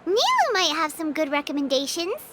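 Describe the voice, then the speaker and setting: A woman voicing a small, childlike, chirpy and earnest fairy companion in a video game, with a squeaky voice. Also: huge pitch swings, fairly quick, very noisy, exaggerated voice. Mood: chirpy, pondering. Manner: refers to herself in third person. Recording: background music under the voice.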